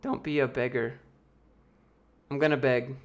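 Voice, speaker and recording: A man's voice: mid-range voice, fairly even intonation, a little slow, dull audio. American man casually answering livestream chat. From United States. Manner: loose, joking, reads chat questions aloud and answers offhand. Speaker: YouTube host answering chat.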